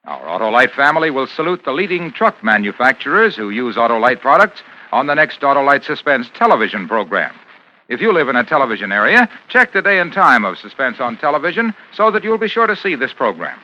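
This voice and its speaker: A man's voice: mid-range voice, sing-song, fairly quick, muffled recording. An American man reading scripted commercials as a 1950s radio announcer, with an emphatic salesman delivery on a vintage broadcast recording.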